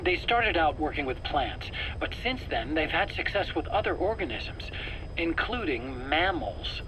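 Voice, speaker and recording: An actor playing a nervous, eager scientist under interrogation in a video game, self-justifying in an earnest, explanatory, pleading way. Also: male voice, fairly high pitch, big pitch swings, a little slow. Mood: secretive, insistent. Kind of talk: dramatic video game voice acting. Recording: faint background noise, cassette tape interrogation.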